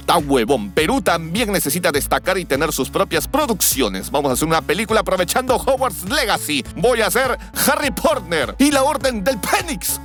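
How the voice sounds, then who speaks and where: A man's voice: a little high, a bit bright. A man hosting a scripted YouTube commentary. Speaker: host.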